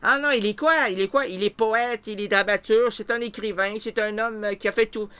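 A man with a Québécois accent telling a comic story in an animated way, jumping between character voices. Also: high-pitched, normal speed, smooth, dry recording. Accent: Québécois French. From Quebec. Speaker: comedian.

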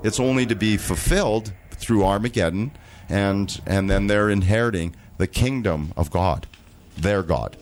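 A man speaking earnestly and slowly as an interview guest, often restarting his sentences. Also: fairly low pitch. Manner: slow, deliberate, with frequent restarts. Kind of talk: earnest conversational monologue.

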